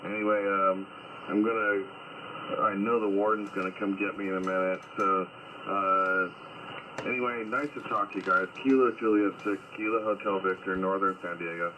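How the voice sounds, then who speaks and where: Low-voiced man on a noisy radio. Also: fairly even intonation, normal speed. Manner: friendly, curious questions. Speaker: ham radio operator. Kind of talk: casual radio chat. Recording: tinny radio line with noise.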